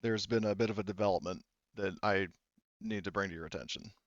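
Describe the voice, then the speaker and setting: A man narrating a tabletop roleplaying game as game master, haltingly relaying what characters say. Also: deep voice, steady tone, dry recording, lo-fi sound. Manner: halting, reported speech of characters.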